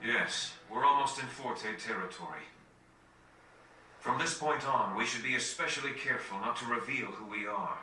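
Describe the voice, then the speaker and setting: A man playing a composed, courteous young nobleman in a video game cutscene, with measured, formal speech. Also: medium pitch, bright, forceful tone, echoey room, far from the mic, faint music behind, low-quality recording. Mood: composed, cautious.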